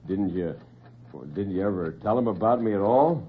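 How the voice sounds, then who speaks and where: A man's voice: on the low side, moderate pace, a little hiss or hum, voice pushed down, close to an everyday voice. A man playing a subdued, apologetic ex-convict brother in classic radio drama. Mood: subdued, uneasy. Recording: tinny, narrow-band old radio recording.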